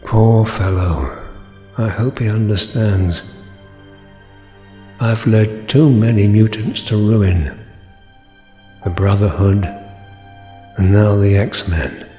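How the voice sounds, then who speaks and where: A man playing a grave, remorseful older mutant leader in an audio drama, speaking in a measured, solemn, formal manner. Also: fairly low pitch, warm tone. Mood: regretful. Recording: music under the voice.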